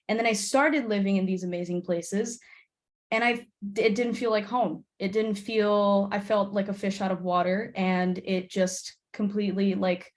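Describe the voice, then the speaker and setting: Casual young woman on a panel call. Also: mid-range voice. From Brazil. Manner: casual, filler-heavy with "like". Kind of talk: webinar panel discussion. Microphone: video call.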